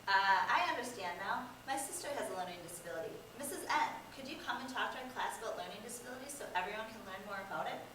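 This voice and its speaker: Quick young woman as curious classmate, echoey. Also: mid-range voice, animated, sharp tone. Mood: inquisitive. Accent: American. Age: young adult. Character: curious, forthright school-age classmate. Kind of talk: amateur reader's theater. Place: echoey indoor room. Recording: echoey room, distant microphone, clear background noise.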